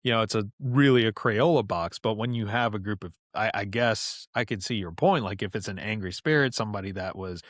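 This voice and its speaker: A man with a lowish voice chats through his stories as a podcast guest, loose and unpolished, leaning on "like" and dropping swear words freely. The sound is dry and close, with no room around it. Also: fairly quick, hardly any pauses, fairly bright tone, no room sound. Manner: loose and chatty, heavy on "like", frequent swearing. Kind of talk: casual storytelling chat.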